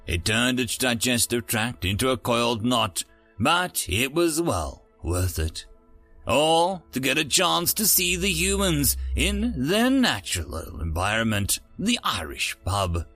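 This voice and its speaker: A male narrator with a bright, forward voice and a widely swinging pitch. He reads scripted fiction in a showy, dramatic announcer manner, with faint music underneath. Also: sing-song, slow. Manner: theatrical, dramatic announcer delivery. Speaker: narrator and channel host.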